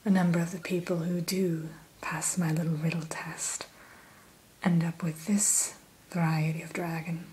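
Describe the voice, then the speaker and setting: Brief: woman, low-ish whisper, very slow, gentle shopkeeper-like ASMR guide, close mic. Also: level tone, slight background noise, poor audio. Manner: soft whisper throughout; gentle, unhurried, mildly formal. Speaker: hatchery keeper in roleplay. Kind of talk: ASMR roleplay.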